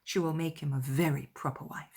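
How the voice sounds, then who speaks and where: A woman voicing a witty, opinionated young gentlewoman in a dramatized classic novel, with a dry, tart manner. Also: low-pitched, fairly even intonation, brisk, breathy edge. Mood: wry, scornful.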